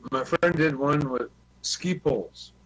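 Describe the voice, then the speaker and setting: A man's voice: on the high side, measured pace, a bit bright. A man chatting casually as a CB radio hobbyist, telling stories in a repetitive, sweary way on an online group call. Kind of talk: casual chat. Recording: noisy online voice chat with hum.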